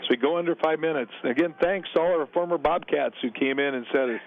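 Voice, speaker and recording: Play-by-play man, gravelly, slightly high voice, brisk calls, narrow radio sound. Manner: brisk play calls mixed with relaxed booth chat. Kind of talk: college football radio commentary. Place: stadium press box. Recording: narrow phone-like radio broadcast.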